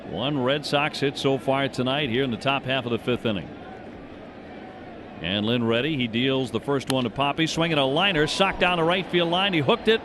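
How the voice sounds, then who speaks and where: A man doing live play-by-play commentary of a baseball game as a radio announcer. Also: on the high side. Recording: noisy live ballpark radio broadcast. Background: stadium ambience and noise.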